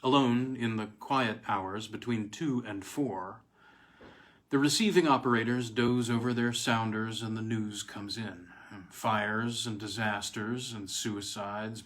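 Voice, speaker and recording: A man reading vintage weird fiction aloud as a dramatic first-person story, with deliberate pauses and emphatic stresses. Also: mid-range voice, a bit bright.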